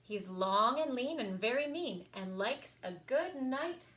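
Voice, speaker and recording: A woman reading picture books aloud for a children's story time, expressive and sing-song, with emphasized words. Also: a little high, unhurried. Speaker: library story time reader.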